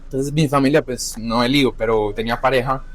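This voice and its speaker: A young adult man, a chef, talking candidly about his life in a halting, self-correcting way. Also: mid-range voice, fairly even intonation. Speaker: chef, podcast guest. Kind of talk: candid personal conversation.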